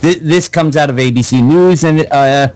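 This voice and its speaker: A man's voice: fairly high pitch, normal speed, darkish tone. A man co-hosting a casual radio show, reading news items in a dry, hesitant way with lots of fillers.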